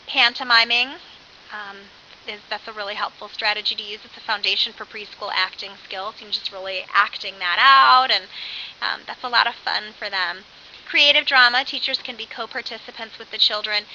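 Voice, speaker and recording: Bright-voiced woman giving a course lecture. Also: fairly high pitch. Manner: animated, with acted-out example phrases. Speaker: early childhood education instructor. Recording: dull lo-fi audio with faint hiss.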